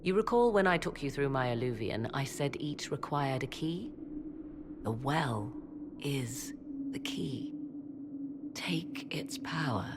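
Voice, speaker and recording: An imperious witch of a fantasy game, steeped in ancient lore. Her voice is very low and moves expressively up and down as she argues in formal, measured phrases. Noisy ambience sits behind her. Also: exaggerated voice. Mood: grave, explaining. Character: proud, cool, ambitious witch, scholar of ancient lore. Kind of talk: dramatic fantasy game dialogue. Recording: clear ambient noise behind voice.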